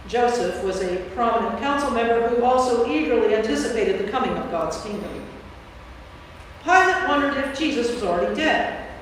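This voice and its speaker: A woman's voice: fairly high pitch, lively intonation, normal speed. A woman priest reading scripture aloud as the narrator of a staged reading. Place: church, live before audience. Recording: very echoey church, distant mic, faint noise.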